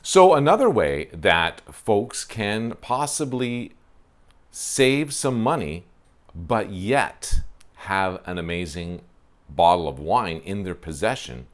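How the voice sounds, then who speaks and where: A man's voice: low voice, expressive, slow. A man, hosting a wine show in a casual, self-deprecating way and talking to camera on a porch through a lapel mic. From Ontario, Canada. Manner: chatty, self-deprecating, frequent fillers. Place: covered porch, outdoors.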